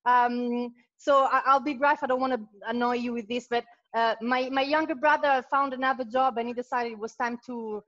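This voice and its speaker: A high-voiced woman hosts a live cooking class over a video call. She laughs easily and trips over her words now and then. The sound is dull and lo-fi, like a laptop mic. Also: fairly even intonation. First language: Italian. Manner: warm, laughs often, halting restarts. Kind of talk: video call group chat. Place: home kitchen.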